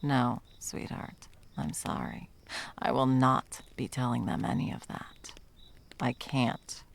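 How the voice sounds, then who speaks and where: A woman playing a stern, condescending yet tender monster hunter in an ASMR roleplay, commanding and dropping often into breathy whispers. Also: rumbling low, steady tone, slow, heavily acted. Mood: regretful, firm. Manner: commanding, condescending, with pet names.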